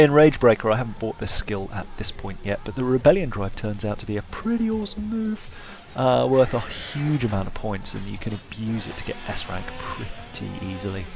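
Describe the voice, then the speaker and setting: A man's voice: lowish voice, fairly quick, vocal fry, few pauses. A man giving casual playthrough commentary with wry, self-deprecating humour. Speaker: gaming video host.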